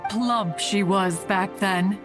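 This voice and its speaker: Woman doing an ancient spirit, aloof, teasing, formal archaic speech, mid pitch, game dialogue. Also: slow pace, loud music behind the voice, close to an everyday voice. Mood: stately, teasing. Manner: formal archaic speech, calls herself "one".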